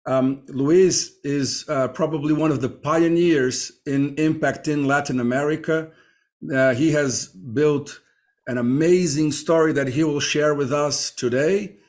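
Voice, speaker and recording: A man hosting a podcast interview, introducing his guests warmly and asking questions with many hesitant fillers. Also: fairly high pitch, steady tone, a little slow. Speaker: podcast host.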